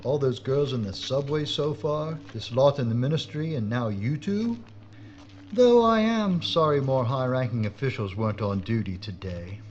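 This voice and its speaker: An actor playing a gloating, traitorous police inspector and killer in an audio drama, theatrical, smug and menacing. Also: male voice, mid-range voice, animated, normal speed, a little mellow, dull audio, a little hiss or hum, natural voice. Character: gloating traitorous chief inspector, murderous Nazi saboteur.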